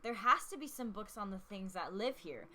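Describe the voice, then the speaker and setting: Young female gamer, casual and playful, bright animated delivery, faint game ambience behind. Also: mid-range voice, a little fast, a little hiss or hum. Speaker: let's player, reader of player character's lines. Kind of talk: casual gaming chat and dialogue reading.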